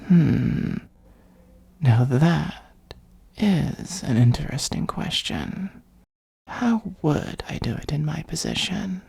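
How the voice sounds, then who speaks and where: A performer of unclear sex voicing an ancient, haughty dragon in a comedic fantasy ASMR roleplay, with a breathy, husky, gravelly voice and a sardonic, condescending, musing manner. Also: medium pitch, animated, slow, darkish tone, heavily acted. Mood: haughty, bargaining. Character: ancient gold-hoarding dragon, haughty, dryly exasperated.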